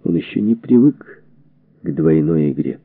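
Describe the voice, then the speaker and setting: A man reading a historical book as a scripted narration, in measured, deliberate phrases. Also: low-pitched, lively intonation, gravelly, dry recording. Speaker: audiobook narrator. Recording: narrow phone-like band, radio-like sound.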